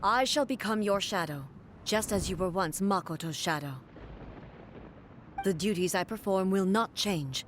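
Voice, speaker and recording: Woman acting a slow, solemn warrior ruler. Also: mid-range voice, slight background noise, close to an everyday voice. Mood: solemn, reserved. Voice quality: measured, formal. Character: solemn, composed female warrior puppet ruler, stern and formal. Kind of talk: video game drama dialogue. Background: game music.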